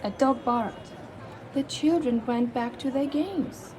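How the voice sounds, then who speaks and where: A woman reading a children's story aloud expressively, with dramatic emphasis and pauses. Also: high voice, background noise. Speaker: storybook reader.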